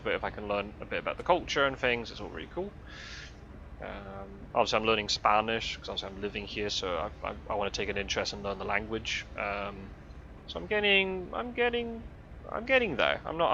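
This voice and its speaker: A young man with an accent that blends English and Scottish talks casually from a truck cab and keeps circling back with "um" and restarts. His voice sits low but comes across bright. The recording is dull and lo-fi, with a faint hum of the road behind him. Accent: mixed English and Scottish. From Scotland. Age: 20s. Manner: rambling, full of fillers and restarts. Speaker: vlogger. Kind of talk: casual chat while driving.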